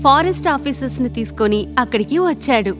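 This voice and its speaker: A woman's voice: on the high side, animated, fast talker. A woman reading scripted narration for a children's story. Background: music.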